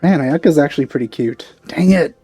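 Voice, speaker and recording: A man's voice: fairly high pitch, fairly quick, mellow. A man giving casual, musing commentary as he plays a video game, with rambling asides. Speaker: let's play host. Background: faint game music.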